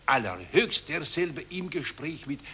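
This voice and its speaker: An actor playing an obsequious, pushy court photographer in a satirical radio play, with a fawning, insistent manner. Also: male voice, a little high, very animated, unhurried, sharp tone, slight background noise, heavily acted. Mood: boastful pitching. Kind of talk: satirical radio drama acting.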